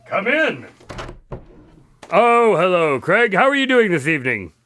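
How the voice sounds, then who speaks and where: An actor playing a sensible, wry doctor and loyal friend in a radio drama, conversational and teasing. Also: male voice, sits high, big pitch swings, a little slow, bright, forceful tone, no echo, full character voice. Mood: friendly, chatty.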